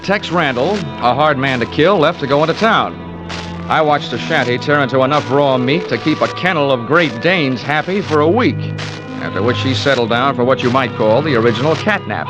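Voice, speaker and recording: A man's voice: mid-range voice, natural voice, low-quality recording. Man playing a wry American private investigator, music behind. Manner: wry, dry, flippant. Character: wisecracking hardboiled male insurance investigator. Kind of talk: 1950s detective radio narration and dialogue. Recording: old radio recording.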